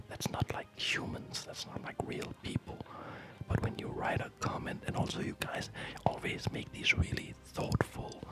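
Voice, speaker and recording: A man whispering breathily in a gentle, unhurried, rambling way, as host of an ASMR gaming video, close to the microphone. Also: rough recording. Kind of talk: whispered ASMR chat over gameplay.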